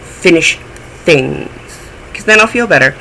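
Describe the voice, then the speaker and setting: A man's voice: fairly high pitch, animated, measured pace, vocal fry, pushed and bright, a little hiss or hum, poor audio. A man hosting a knitting podcast, chatting in a rambling, self-deprecating way and sounding a little phlegmy. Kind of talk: casual chat.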